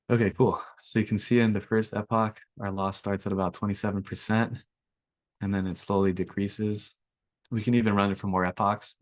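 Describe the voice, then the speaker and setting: A young man, slightly raspy from allergies, walking through a coding workshop in a relaxed way with lots of ums. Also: fairly low pitch, normal speed, pauses often, no echo. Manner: relaxed, filler-heavy explaining. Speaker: workshop instructor. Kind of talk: screen-share coding tutorial.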